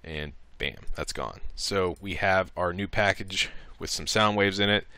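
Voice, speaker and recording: A man giving a casual, improvised software tutorial, talking through each click as he goes. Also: deep voice, unhurried, clear, resonant tone, no echo. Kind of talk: casual step-by-step screen tutorial.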